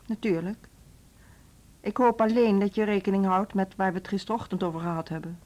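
A woman's voice: medium pitch, fairly even intonation, a little fast, a little mellow, close to an everyday voice. An actress playing a strict female school director in a radio drama, who speaks in a cool, curt, businesslike way.

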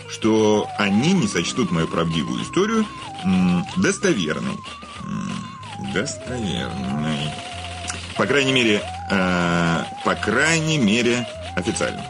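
An actor in a radio play as a menacing, self-satisfied famous writer, speaking in a mocking, theatrical way with hesitant hums and pauses. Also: male voice, on the low side, heavily acted. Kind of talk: theatrical radio drama acting.

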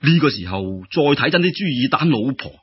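A male Cantonese storyteller narrates a folk tale with theatrical exclamations. His voice sits slightly high and is rough-edged, with very lively intonation. The recording is dull, like old radio. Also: a little fast.

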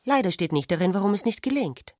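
An actress playing a sharp-witted, provocative, tired sister in a literary radio drama, speaking in an articulate, insistent way. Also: female voice, mid-range voice, animated, fast talker, dry recording, studio quality, close to an everyday voice. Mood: teasing, curious.

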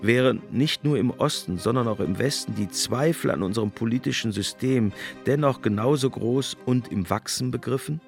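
A man narrating a scripted essay commentary in a measured, reflective manner. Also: mid-range voice, vocal fry, faint music behind.

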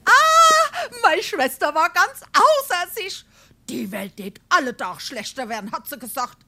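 A gossipy housewife in a Hessian stage comedy. She is high-pitched and swoops about, plaintive and defensive, over a noisy background. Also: very animated, measured pace, bright, forceful tone, full character voice. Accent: Hessian, Darmstadt.

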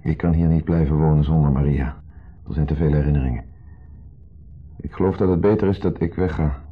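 An actor playing an insecure, resigned husband, hesitant and subdued, in a radio drama. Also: male voice, extremely low pitch, steady tone, fairly quick, husky. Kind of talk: 1970s radio drama acting. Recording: dull 1970s radio play recording.

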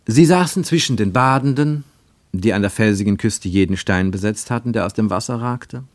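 Lower-voiced man narrating a radio play. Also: no echo. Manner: expressive, measured storytelling delivery.

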